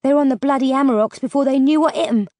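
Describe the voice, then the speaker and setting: A woman's voice: high voice, very fast, a little mellow, no room sound, pitched above the performer's own voice, natural voice. Audiobook role: teasing young survivor woman, bold, colloquial, a woman acting her, dry sound. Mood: matter-of-fact. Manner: cheeky, colloquial.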